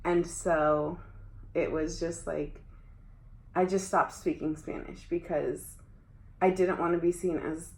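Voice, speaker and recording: A woman's voice: on the low side, steady tone, takes it slowly, pauses often. A young adult woman talking casually about her school years, often using "like". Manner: conversational, frequent filler "like". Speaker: interviewee. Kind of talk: casual conversation. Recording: echoey room.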